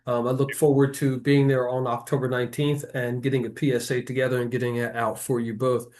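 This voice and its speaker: A male interview host speaks at a middling pitch in a conversational style. He guides guests along and presents information to listeners. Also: level tone, a little fast, darkish tone.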